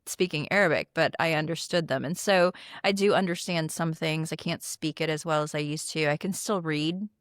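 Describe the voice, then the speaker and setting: A woman's voice: low voice, moderate pace, few pauses, no echo, clean studio sound. A middle-aged woman from the Philippines whose first language is Tagalog, speaking as a guest in a conversational interview, earnest, with frequent "um"s and light laughs.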